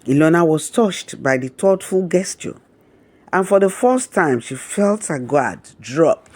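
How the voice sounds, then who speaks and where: A man narrating a scripted romantic folktale in a measured, dramatic storybook manner. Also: a little high, expressive, a little mellow.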